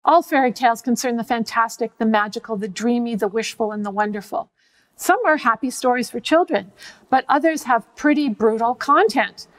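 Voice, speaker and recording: A middle-aged woman, giving scripted educational narration in a measured, precise way as a professor. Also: a little high, poor audio. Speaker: professor presenting a web series.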